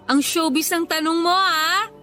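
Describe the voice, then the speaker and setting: A woman's voice: extremely high pitch, unhurried, pushed and bright, soft background music, exaggerated voice. An actress playing a coy, defensive young woman in love, in radio drama dialogue. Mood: coy, friendly.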